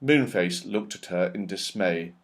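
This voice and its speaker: A man narrating a children's story in an expressive, emphatic way. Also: low-pitched, measured pace. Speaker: audiobook reader.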